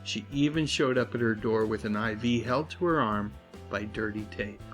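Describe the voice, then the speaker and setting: A man hosting and narrating a scripted video essay, with earnest, dramatic phrasing and drawn-out endings. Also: lowish voice. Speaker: host, pop culture commentator. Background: music bed.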